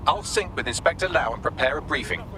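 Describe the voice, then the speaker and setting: Male game AI assistant, human actor, crisp and efficient, quick delivery, very animated intonation. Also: a little high, background noise, close to an everyday voice. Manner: crisp, formal, chipper briefing. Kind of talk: video game voice acting.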